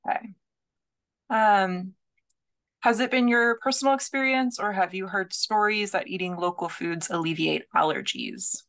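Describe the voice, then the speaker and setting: A young woman moderating a webinar over a headset, reading out audience questions in a measured way. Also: mid-range voice, fairly bright tone. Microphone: headset on a video call.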